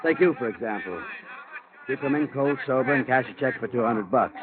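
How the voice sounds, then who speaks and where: A man's voice: medium pitch, lively intonation, fairly quick, natural voice. Male actor as plain-spoken casino man. American, casual, explanatory; vintage radio, dull sound, busy crowd behind. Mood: matter-of-fact. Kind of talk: 1940s-50s radio acting.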